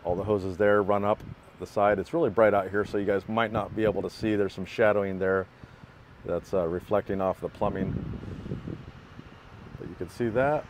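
A man's voice: lowish voice, fairly even intonation, normal speed, long pauses, slight background noise. A man giving a plainspoken, matter-of-fact walkaround of a work truck, outdoors in a parking lot, filmed on a phone he holds himself. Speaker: equipment builder giving a walkaround. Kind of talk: casual product walkthrough.

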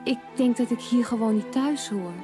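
An actress playing a gentle, self-assured, bookish young woman in an animated film, polite and earnest. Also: a little high, moderate pace, music in the background, natural voice. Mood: wistful. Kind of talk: animated musical film dub.

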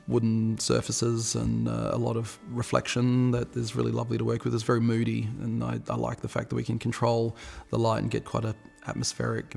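A man, a cinematographer explaining his lighting on set in an unhurried, technical way. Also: medium pitch, vocal fry, a little mellow, long reverb tail. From New Zealand. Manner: unhurried, technical, with frequent uh fillers. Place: large historic house interior.

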